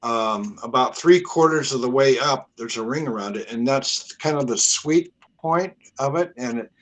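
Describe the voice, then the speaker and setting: A man's voice: mid-range voice, normal speed, fairly bright tone, low-quality recording. A man as a guest in a casual spiritual conversation on a video call, talking earnestly and hesitantly with fillers.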